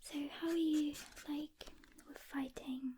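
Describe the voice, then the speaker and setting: A woman speaking in a hushed, gentle ASMR whisper, unhurried, playing a calm demon hunter who talks directly to the listener. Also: high voice, slight background noise. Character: calm experienced female demon hunter briefing a newcomer. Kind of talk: soft-spoken whispered ASMR roleplay.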